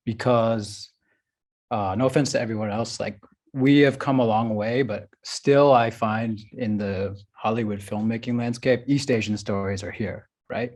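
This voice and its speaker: A man's voice: mid-range voice, a little mellow. A man speaking casually on a panel as a filmmaker, with a loose, chatty way of talking full of "like" and "you know what I'm saying". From United States.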